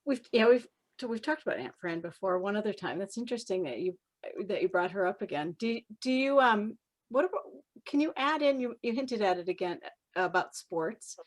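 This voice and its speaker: A woman's voice: mid-range voice, expressive, a little fast, dry recording. Woman interviewer in a dry, close sound. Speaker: interview host. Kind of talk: casual interview chat.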